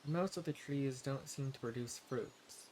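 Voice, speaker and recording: A mild, level-headed male adventurer, acted by a man in an audio roleplay, with a mid-pitched voice. He speaks gently and haltingly, straight to the listener, over faint forest ambience. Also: a little hiss or hum, natural voice, low-quality recording. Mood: musing.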